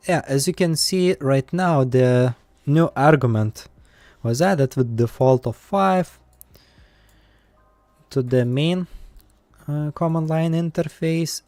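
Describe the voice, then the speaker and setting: A man with an Eastern European accent, live-streaming while coding and thinking aloud, with many pauses and fillers. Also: medium pitch, a little mellow, no room sound.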